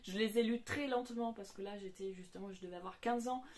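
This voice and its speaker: A woman's voice: mid-range voice, fast talker, a little mellow. A woman of about forty, whose first language is not French, talking casually to camera about books she has read, hesitating often and restarting her sentences. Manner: hesitant, many fillers and restarts. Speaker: book vlogger. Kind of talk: casual book talk to camera.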